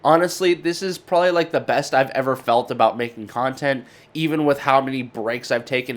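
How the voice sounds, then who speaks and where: Male YouTube host, mid-pitched voice. Casual, unscripted channel update, earnest and informal, rambles a little.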